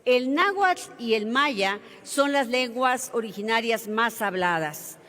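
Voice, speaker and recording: A woman's voice: a little high, lively intonation, takes it slowly, fairly bright tone. A woman senator from Mexico with a Mexican accent, giving a formal floor speech in an earnest, emphatic oratorical manner. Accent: Mexican Spanish. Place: large legislative chamber. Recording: reverberant legislative chamber.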